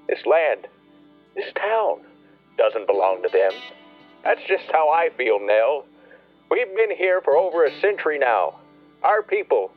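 A grieving, proud farmer in a radio drama. His slightly high voice moves widely in pitch as he speaks slowly and ruefully, with music underneath. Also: phone-quality sound. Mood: aching, resentful. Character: grieving, bitter rural farmer, proud and nostalgic. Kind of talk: radio play monologue.

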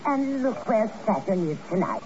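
An actress playing a jaded, theatrical society hostess with an affected, world-weary manner in a 1950s radio drama. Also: female voice, medium pitch, very animated, moderate pace, mellow, lightly acted. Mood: bored. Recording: muffled old radio recording, faint noise.

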